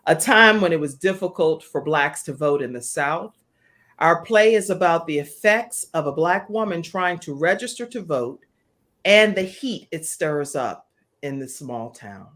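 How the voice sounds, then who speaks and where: A woman's voice: low voice, fairly bright tone. An American woman hosting a live online talk show in an enthusiastic, welcoming manner.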